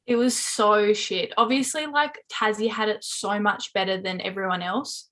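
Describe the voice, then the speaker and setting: A woman's voice: medium pitch, steady tone, normal speed, a bit bright. Aussie girl, 20, podcast guest, super candid, lots of "like", swears a bit. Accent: Australian. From Hobart, Tasmania. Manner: chatty, candid, frequent "like" fillers, swears casually. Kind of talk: casual chat.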